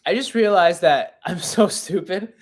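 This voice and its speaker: A young man in his twenties hosts a live Q&A stream in a loose, chatty way, often poking fun at himself. His voice sits a little high for a man. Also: unhurried. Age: 20s. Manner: chatty and self-mocking, reading chat aloud. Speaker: podcast host.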